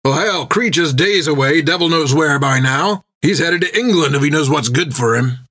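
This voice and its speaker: Gruff seafaring captain for an audiobook, acted by a man: bossy, blunt, salty-tongued, raised pitch. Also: moderate pace, bright, forceful tone. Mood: brusque. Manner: blunt, barking orders. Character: gruff, commanding, foul-mouthed male pirate captain.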